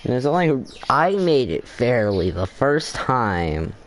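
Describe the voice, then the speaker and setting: A young man giving casual, halting gameplay commentary, thinking aloud as he plays. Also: medium pitch, sing-song, a little hiss or hum. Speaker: gaming video host.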